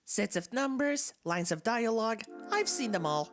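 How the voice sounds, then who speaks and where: A woman's voice: on the high side, expressive, normal speed, close to an everyday voice. A woman voicing a soulless, bored talking flower in a dramatic game fan dub, confiding and theatrical, swinging between wistful and menacing. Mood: weary, intense. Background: game soundtrack music.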